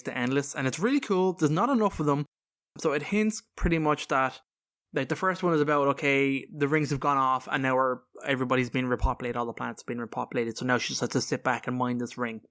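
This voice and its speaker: A man with a medium-pitched voice talks as a podcast co-host. He explains video game lore casually, in an informal and rambling style. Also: fairly bright tone.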